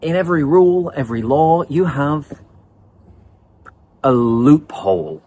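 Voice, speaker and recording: Lively man teaching English vocabulary. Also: fairly high pitch, unhurried. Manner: lively explanatory. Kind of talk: online vocabulary lesson.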